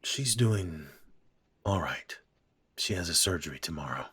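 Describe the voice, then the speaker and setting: A man playing a blunt, weary aging father who sighs and speaks slowly. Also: low voice, animated, pushed and bright, husky, heavily acted. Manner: blunt, sighing, slow-spoken.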